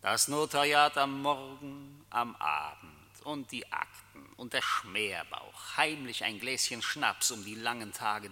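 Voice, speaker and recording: Radio drama: a mocking, insinuating male tempter, piercingly forceful, declamatory, in a long echoing hall. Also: on the low side, heavily acted. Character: sinister mocking accuser, a tempter who knows all secrets. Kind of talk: theatrical German radio play acting.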